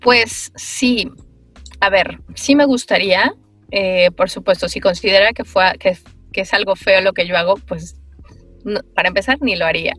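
A woman, a voice actress answering interview questions on a video call, thoughtful and animated, with many pauses and fillers. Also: mid-range voice, no echo, faint music behind, poor audio. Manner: animated, gestures while talking. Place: small room with foam panels.

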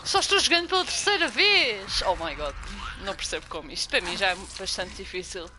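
A woman's voice: mid-range voice, lively intonation, a little fast, noisy background. A young woman from Portugal with a strong European Portuguese accent, streaming a game and chatting with viewers. She gets jumpy and swears when startled. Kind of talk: casual gameplay commentary.

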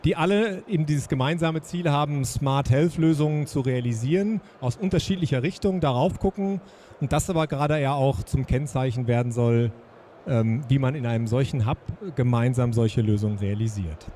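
A man's voice: mid-range voice, moderate pace, dark tone, a little hiss or hum. A man in his fifties hosting a trade fair session on a handheld mic, friendly and hesitant with many fillers. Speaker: session host. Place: trade fair booth indoors.

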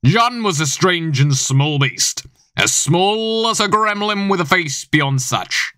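A man's voice: high-pitched, lively intonation, takes it slowly, sharp tone, smooth, dry recording. A teenage boy reading scripted storytelling narration for an audio play. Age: teens.

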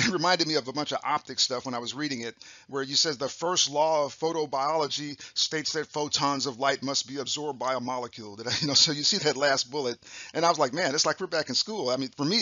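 A man in his sixties, an optometrist, talking through a slide lecture with a raspy voice, clearing his throat often, in a chatty, upbeat way full of fillers and restarts. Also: mid-range voice, pushed and bright, no room sound, muffled recording. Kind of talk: recorded continuing-education lecture. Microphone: voice-over on slides.

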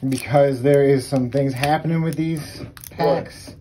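A man casually chatting while opening trading card packs, relaxed and chuckling as he calls out cards. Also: unhurried, a little hiss or hum. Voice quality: says he has a very deep voice. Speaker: channel co-host. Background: faint crinkling of card packs.

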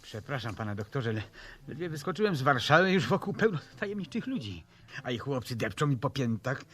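A man's voice: medium pitch, sing-song, brisk, fairly bright tone. A weary adventurer detective in a 1970s radio play. His mid-range voice moves up and down expressively. He grumbles with exasperation, yet stays good-natured and kindly. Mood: grumbling.

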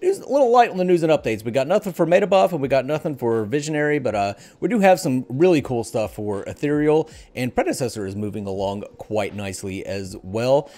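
A man's voice: mid-range voice, animated, a little fast, few pauses, warm tone. A middle-aged man hosting a casual gaming podcast in an informal, jokey way. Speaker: podcast host. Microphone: headset.

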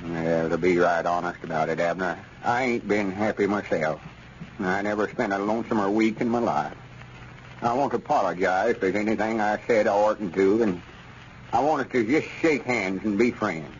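An actor playing an earnest old country storekeeper with a rural Southern accent and folksy talk, in old radio comedy style. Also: male voice, on the low side, normal speed, lightly acted. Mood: earnest, conciliatory. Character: earnest, plain-spoken old country storekeeper, proud but forgiving. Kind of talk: 1940s radio comedy acting. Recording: dull, noisy old radio recording.

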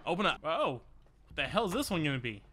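High-pitched, excitable man doing game commentary. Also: fairly quick, faint music behind. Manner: foul-mouthed, exclamatory, repeats phrases in bursts. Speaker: gaming video host. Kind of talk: excitable let's-play commentary.

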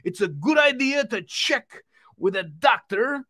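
A man voicing an excitable, friendly male puppet who hosts an exercise show for children and repeatedly calls out to the audience. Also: very high voice, measured pace, pitched above the performer's own voice, exaggerated voice. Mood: excited, encouraging. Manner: exclamatory, repetitive, addresses the audience.

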